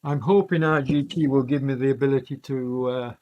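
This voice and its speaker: A man with a chest cold giving loose, rambling live commentary on a virtual cycling event as its host, often pausing and correcting himself. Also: medium pitch, steady tone, dark tone, highs cut off. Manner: rambling, self-correcting, many fillers. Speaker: e-racing commentator and streamer.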